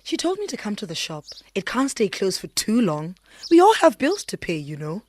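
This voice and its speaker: A woman playing a compassionate but disapproving shopkeeper who is softening, in a radio drama. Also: medium pitch, huge pitch swings, moderate pace, noisy background. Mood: matter-of-fact.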